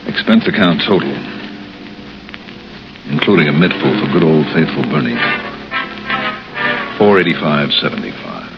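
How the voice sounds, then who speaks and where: A man's voice: a bass voice, expressive, normal speed, gravelly, long pauses, heavily acted. An actor playing a hard-boiled male insurance investigator who narrates his own case in clipped, wisecracking 1950s radio style. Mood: narrating. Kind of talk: 1950s radio drama acting. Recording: dull old radio broadcast with loud music.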